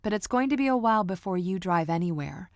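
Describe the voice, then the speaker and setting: A woman voicing a middle-aged mother who talks in a soft, caring, doting way. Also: medium pitch, expressive, fast talker, no echo, clean studio sound, pitched above the performer's own voice, natural voice.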